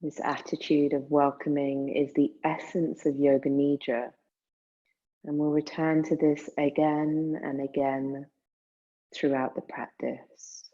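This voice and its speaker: A woman guiding a meditation in a soothing, unhurried manner, leaving long pauses between phrases. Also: sits low, little pitch movement, warm tone, lo-fi sound. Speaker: yoga nidra meditation guide. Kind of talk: guided meditation.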